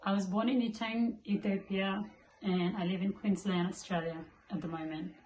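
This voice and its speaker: A woman from South Sudan, a small business owner, introducing herself and her work in a soft-spoken way with many pauses. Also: medium pitch, steady tone. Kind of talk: self-introduction to camera. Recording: echoey room with faint noise.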